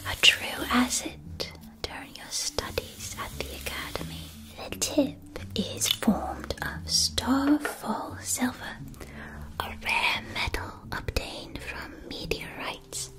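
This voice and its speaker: A woman's voice: high voice, low-quality recording. Woman playing a whispering, genteel witch shopkeeper. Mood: gentle, attentive. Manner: slow, dainty, fussy, repeats words softly. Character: female witch-school stationery shopkeeper, genteel, doting, eager saleswoman. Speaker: ASMR roleplay performer. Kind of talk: whispered ASMR shop roleplay.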